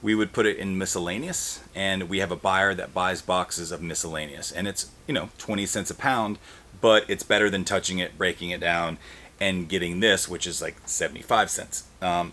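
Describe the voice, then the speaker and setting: A man's voice: low-pitched, normal speed, fairly bright tone. A man hosting a hands-on teardown video, talking to the camera in a casual, plain-spoken, explanatory way. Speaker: host of an e-waste teardown video. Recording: clear background noise with handling clicks.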